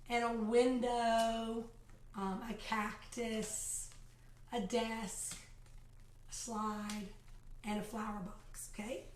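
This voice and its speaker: A woman with a slightly raspy voice, demonstrating classroom math activities in a casual, chatty way and counting aloud as she goes. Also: a little high, roomy sound, slight background noise. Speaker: kindergarten teacher showing math activities. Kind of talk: casual live video demonstration. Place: home, a phone ringing in the house.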